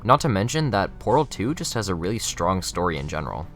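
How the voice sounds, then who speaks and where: A man's voice: sits low, very animated, brisk, loud music behind the voice. A young man reading scripted video essay narration.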